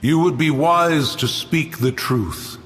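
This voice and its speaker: An actor playing a haughty, ancient guardian spirit in a game, speaking in a stern, stately, archaic manner. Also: male voice, fairly high pitch, fairly bright tone. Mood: stern, guarded. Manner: stern, archaic, stately, slow formal speech. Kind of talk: dramatic game voice acting. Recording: long echoing reverb with music behind.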